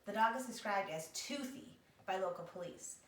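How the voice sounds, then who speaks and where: A woman's voice: mid-range voice, animated, moderate pace. A woman reading a picture book aloud expressively as a storybook reader. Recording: echoey room, distant microphone.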